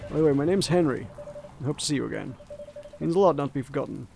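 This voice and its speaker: A man's voice: on the high side, expressive, fast, vocal fry, slight background noise. A man voicing a polite, weary young man down on his luck, begging for change in a visual novel. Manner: polite, weary, soft-spoken.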